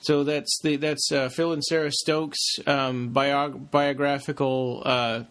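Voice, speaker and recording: A man co-hosting a podcast in casual chat, speaking haltingly as he searches for words. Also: medium pitch, level tone, a bit bright. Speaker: podcast co-host.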